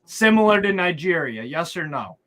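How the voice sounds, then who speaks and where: High, bright-voiced man quizzing others. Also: very animated, moderate pace. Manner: quizzing, presses with yes-or-no questions. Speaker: co-host of a football watchalong. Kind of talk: casual debate.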